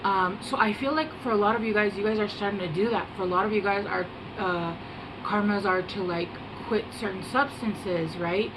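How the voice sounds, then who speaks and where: Soft-spoken woman over an air-conditioner hum. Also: medium pitch, moderate pace. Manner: soft-spoken, meandering, hesitant with many fillers. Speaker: tarot reader. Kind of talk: casual rambling tarot reading to camera.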